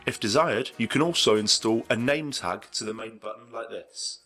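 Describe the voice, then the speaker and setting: A man's voice: medium pitch, moderate pace, a bit bright, soft background music. A man reading scripted narration for an instructional video tutorial.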